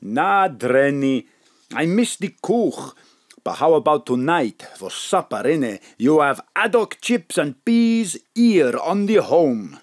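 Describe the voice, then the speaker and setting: An actor playing a cheerful, flirty foreign café cook in an audio drama, eager and excitable in broken English. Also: male voice, on the high side, takes it slowly, full character voice.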